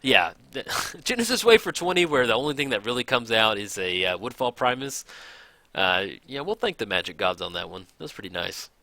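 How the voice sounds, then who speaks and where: A man casually commenting on a card game match, thinking aloud, his voice a little raspy from hours of recording. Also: medium pitch, sing-song, brisk, no echo. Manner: chatty, thinks aloud, counts out loud. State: slightly raspy from long recording. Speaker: gameplay commentator.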